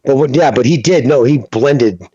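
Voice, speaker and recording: A man trading loose, profane banter on a podcast. His voice sits in a middle pitch. The recording is dry and a little muffled. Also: moderate pace, dark tone, breathy edge, dry recording. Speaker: podcast co-host. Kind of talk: casual chat.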